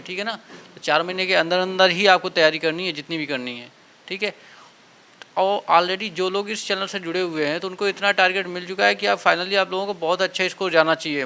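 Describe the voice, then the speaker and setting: A man's voice: sits high, bright, forceful tone. A man gives an informal, motivational exam-prep talk, instructing and urging students and often adding small check-in tags. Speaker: exam coaching instructor and channel host.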